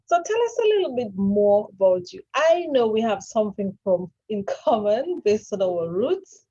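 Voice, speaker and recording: A woman's voice: mid-range voice, sing-song, moderate pace, dark tone. A woman with Caribbean roots hosting an interview, effusive and encouraging as she introduces her guest. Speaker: interview host. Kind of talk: hosted interview. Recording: dull lo-fi sound.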